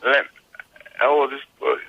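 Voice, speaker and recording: A man's voice: medium pitch, level tone, slow, narrow, tinny audio. A man answering casually as a musician guest in an interview, reflective and searching for his words. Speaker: guest musician, singer-songwriter.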